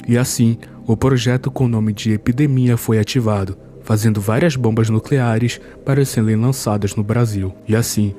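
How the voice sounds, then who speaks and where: A man's voice: mid-range voice, dark tone. A man with a Brazilian Portuguese accent giving a scripted narration that recaps a horror series.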